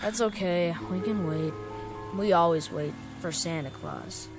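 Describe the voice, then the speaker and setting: A woman's voice: fairly low pitch, a little slow, music in the background. An actress voicing a poor little girl in an audio drama, speaking in a small, hopeful and plaintive way. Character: poor little girl asking Santa for gifts. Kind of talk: radio drama acting.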